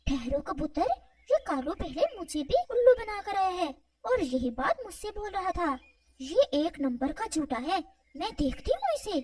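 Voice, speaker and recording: Woman as a high-voiced, emphatic cartoon eagle. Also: sing-song, measured pace, darkish tone, no room sound, distant mic, exaggerated voice. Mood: wary, indignant. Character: female eagle, sentimental yet stern elder sister. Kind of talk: animated children's moral story dialogue.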